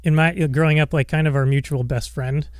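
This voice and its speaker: A man's voice: on the high side, fairly even intonation, fairly quick, clean tone, no echo, studio quality. A young man hosting a casual podcast interview, rambling with fillers and restarts. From Alaska, raised in Seattle. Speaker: podcast host.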